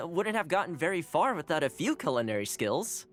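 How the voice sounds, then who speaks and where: An actor playing a gentle, courteous young man in a video game, speaking in a measured, encouraging way. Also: fairly high pitch, big pitch swings, faint music behind. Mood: warm, attentive. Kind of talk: scripted game character dialogue.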